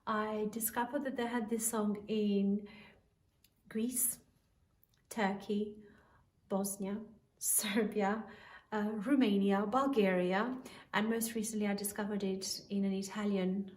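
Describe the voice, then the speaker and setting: A woman's voice: medium pitch, fairly even intonation, takes it slowly, long pauses, a little mellow. Echoey, distant Albanian-accented woman. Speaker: musician introducing a performance. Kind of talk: informal spoken introduction to camera. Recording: roomy echo, distant mic.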